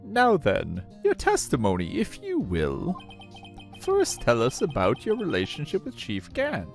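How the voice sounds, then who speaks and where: A man's voice: mid-range voice, huge pitch swings, unhurried, vocal fry, faint music behind, voice pushed up, full character voice. A man voicing a stern, formal video game courtroom judge who declaims grandly. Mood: stern, solemn. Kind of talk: amateur video game voice acting.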